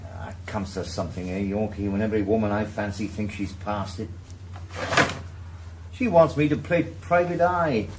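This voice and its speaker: A man's voice: fairly low pitch, moderate pace, background noise, close to an everyday voice. A man playing a weary ex-cop. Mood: dry. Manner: dry, wry and laconic. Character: weary, down-at-heel retired male ex-policeman turned private eye. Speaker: private investigator. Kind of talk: 1980s radio drama acting.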